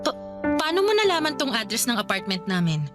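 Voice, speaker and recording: Exasperated Filipino girlfriend for radio drama: high, bright voice, emphatic and animated. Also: a little fast, loud music behind the voice. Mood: alarmed, displeased. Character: indignant young live-in girlfriend arguing with her partner. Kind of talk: Tagalog radio drama acting.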